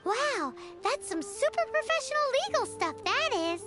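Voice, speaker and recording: Game fairy sidekick: extremely high, childlike, eager and chatty, lively delivery, music behind. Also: female voice, moderate pace. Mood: amazed, curious. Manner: eager, chatty, childlike, refers to self by name. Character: Paimon, tiny chirpy childlike female fairy companion. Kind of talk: animated video game dialogue.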